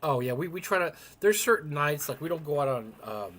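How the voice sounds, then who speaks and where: A man's voice: fairly high pitch, a little fast. An older man with a young-sounding voice co-hosting a live stream, rambling through casual anecdotes and acting out bits of dialogue. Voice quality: young-sounding for his age. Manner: chatty, animated retelling with quoted dialogue.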